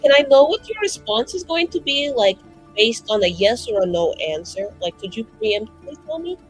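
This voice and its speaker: A woman's voice: fairly high pitch, sing-song, moderate pace, soft background music, voice pushed down, low-quality recording. A woman voicing a nervous, scheming young mage in a fantasy tabletop game, rambling, slangy and anxiously earnest. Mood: cagey, hedging.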